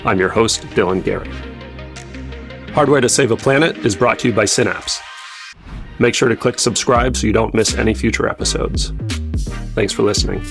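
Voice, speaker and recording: A man hosting a podcast, reading an intro and asking interview questions in an even delivery. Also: fairly low pitch, normal speed, creaky, long pauses, soft background music. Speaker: podcast host.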